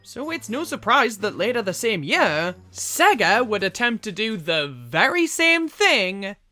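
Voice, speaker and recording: A woman delivering scripted video essay commentary as a reviewer, opinionated and emphatic with dramatic pauses. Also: mid-range voice, very animated, bright, forceful tone, soft background music. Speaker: video reviewer, channel host.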